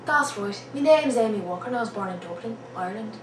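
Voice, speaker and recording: A woman's voice: medium pitch, lively intonation, quick pace, lightly acted. A woman in her twenties performing a string of put-on accents in a web video clip played on air. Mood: showy. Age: 20s. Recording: roomy, distant web video with background noise.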